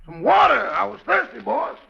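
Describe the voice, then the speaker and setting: An actor playing a cheeky, sly valet with a rasping voice and ready excuses in a 1940s radio comedy. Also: male voice, very high-pitched, sing-song, moderate pace, pushed and bright, heavily acted. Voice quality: gravelly, rasping. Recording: very noisy, muffled old radio recording.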